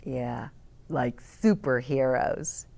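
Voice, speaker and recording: A woman's voice: extremely low pitch, lively intonation, very slow, creaky, darkish tone, voice pushed down, full character voice. A woman voicing a kind, worried young boy in a children's storybook, earnest and reassuring to a friend. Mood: encouraging. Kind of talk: children's storybook read-aloud.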